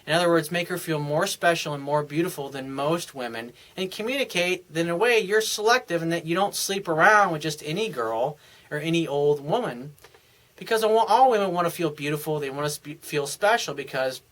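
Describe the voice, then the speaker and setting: Dating coach guy going off, blunt, swears a lot, repeats himself, bright punchy voice. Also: a little high, expressive, a little fast. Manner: emphatic and blunt, swears freely; repeats and restarts phrases as he talks. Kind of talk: monologue answering a viewer's question.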